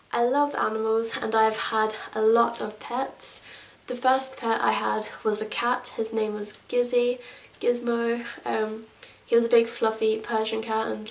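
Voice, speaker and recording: A woman's voice: a little high, little pitch movement, unhurried, creaky. A woman in her twenties from England, chatting casually to camera as a vlogger, rambling with lots of fillers. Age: 20s. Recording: echoey room, mic at a distance.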